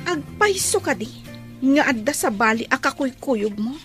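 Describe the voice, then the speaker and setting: A woman's voice: high-pitched, animated, moderate pace, music in the background. An actress playing a hurt, reproachful young pregnant woman in an emotional Filipino-accented radio drama. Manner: plaintive, emotional.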